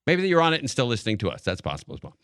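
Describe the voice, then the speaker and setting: An American man hosting live radio talk, commenting casually on the news in a rambling, halting way, as if thinking aloud. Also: medium pitch, wildly swooping pitch, fairly bright tone, clean tone, no echo, studio quality. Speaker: radio talk show host. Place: radio studio. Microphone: close broadcast studio mic.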